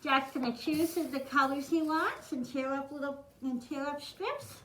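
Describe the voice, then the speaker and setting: A high-pitched woman's voice, recorded in a roomy, echoey space with noise in the recording. She guides children through a craft one step at a time, in simple words and with an encouraging manner. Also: animated, unhurried. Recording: roomy echo, clearly noisy, clicking.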